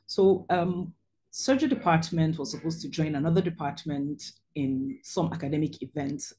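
A middle-aged woman speaks into a video call from a small room and hosts a talk over slides. Her voice sits low and mellow, and she tells her story earnestly with many pauses and ums. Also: slight background noise. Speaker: webinar host and surgeon.

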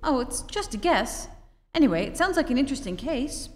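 A woman's voice: high-pitched, big pitch swings, fairly quick. A woman playing a curious, teasing wife in an old-time radio drama on stage. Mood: eager, probing. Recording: echoey live stage hall.

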